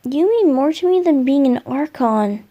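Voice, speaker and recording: A gentle, boyish young spirit in love, played by a woman, with a high, mellow voice. He murmurs softly and hesitantly, in the intimate style of a romantic ASMR roleplay. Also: expressive, lo-fi sound. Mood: tender, adoring. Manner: soft, tender, breathy murmurs with hesitant pauses.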